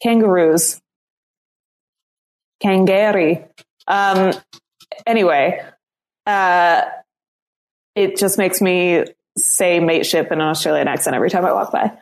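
A woman's voice: fairly low pitch, measured pace, pauses often, low-quality recording. Giggly woman co-hosting a podcast. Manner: giggly and playful. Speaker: podcast co-host. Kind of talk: casual podcast chat.

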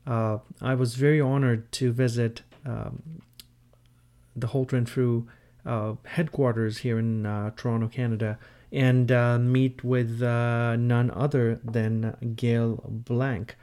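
A man hosting a podcast in a conversational way, introducing guests with a halting, hesitant delivery full of pauses. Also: mid-range voice, little pitch movement, dark tone. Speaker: podcast host and interviewer.

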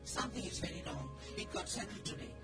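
A woman's voice: mid-range voice, sing-song, quick pace, loud music behind the voice, lightly acted. A woman playing a defiant, indignant Indian wife seeking divorce in a radio play reading, emphatic and bitter. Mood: bitter, upset.

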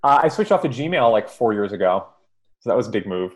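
Hesitant low-voiced man from Hawaii. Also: sing-song, a little fast. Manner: hesitant, lots of ums and repeats. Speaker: guest. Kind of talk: casual interview chat.

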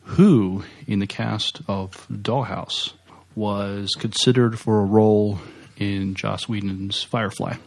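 Low-voiced man co-hosting a podcast. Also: steady tone, slow, gravelly, darkish tone. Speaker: podcast co-host. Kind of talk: casual banter podcast co-hosting.

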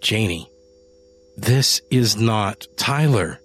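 Patient husband, gentle and worried; a man reads him at a slow pace. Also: on the low side, animated, heavily acted. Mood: firm.

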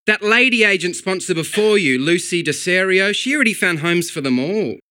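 A man's voice: high-pitched, lively intonation, moderate pace, bright, forceful tone, dry recording, clean studio sound, pitched above the performer's own voice. A man voicing a chatty, cheeky schoolyard pigeon in storybook dialogue, earnest and slightly prim.

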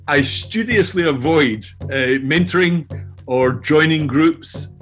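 An older Scottish man introducing himself as a guest in a loose, halting way, often laughing, over a remote call. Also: on the high side, a bit bright, faint music behind. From Scotland. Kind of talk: casual self-introduction.

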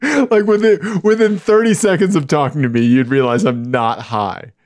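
A man co-hosting a casual podcast, giggly and animated, with a naturally high-pitched voice. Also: moderate pace, no echo. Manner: giggly, animated, voice jumps high when excited. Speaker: podcast co-host.

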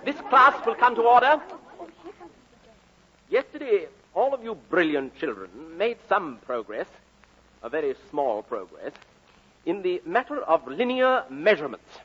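A haughty male schoolmaster, high and sharp, his voice swooping wildly. He speaks in clipped, sarcastic phrases on a dull old radio recording. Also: very animated, measured pace, long pauses, some chatter behind, heavily acted. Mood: pompous, sarcastic. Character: pompous, sneering schoolmaster who turns panicky coward. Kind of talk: 1940s-50s radio drama acting.

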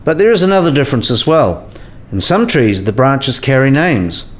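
A learned professor played by a man in a radio play, with a mid-pitched voice. He explains things step by step in a precise, didactic way. He often puts questions to his listener like a patient tutor. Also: big pitch swings, moderate pace, close to an everyday voice.

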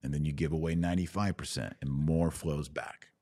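A man with a very low, relaxed voice speaks on a close, dry microphone. He hosts an informal livestream, giving earnest advice. He urges listeners along and poses questions to answer himself. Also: a little fast, no echo. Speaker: podcast host answering live viewers.

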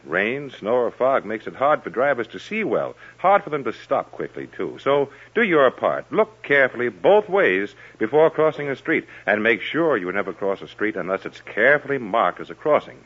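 A man's voice: mid-range voice, very animated, normal speed, few pauses, slightly rough. A man announcing on mid-century children's radio in an instructive, carefully phrased way. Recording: muffled old radio recording.

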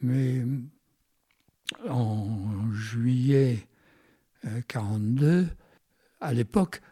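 A Frenchman in his nineties shares memories in an interview. His voice is dark and husky, recorded dry with little room sound. He speaks haltingly, searching for his words as he goes. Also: medium pitch, no room sound. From France. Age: 90s. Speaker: interview guest recalling his life. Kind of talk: unscripted reminiscence.

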